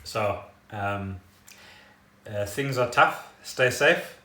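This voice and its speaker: A man's voice: on the low side, very slow, bright, forceful tone. A South African man co-hosting a podcast in casual chat, explaining things in a rambling way. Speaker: podcast co-host. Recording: echoey room, distant mic.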